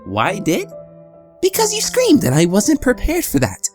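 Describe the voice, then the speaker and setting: A woman playing an excitable, wildly swooping skeleton brother. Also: medium pitch, measured pace, faint music behind. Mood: excited, earnest. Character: earnest, excitable young male skeleton brother. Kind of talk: comic dub voice acting.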